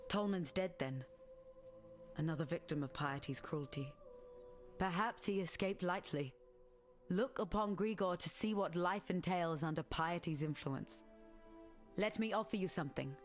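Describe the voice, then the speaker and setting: A stern, low-voiced warrior woman. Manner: solemn, measured, formal. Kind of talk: scripted video game character dialogue.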